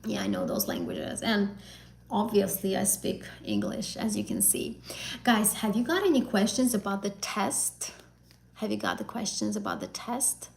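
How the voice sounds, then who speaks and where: Young azerbaijani-born woman, gravelly, talks a lot and rephrases, tutor on a live stream. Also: medium pitch, big pitch swings, moderate pace, darkish tone. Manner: chatty, repeats and rephrases, many fillers. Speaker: English exam tutor.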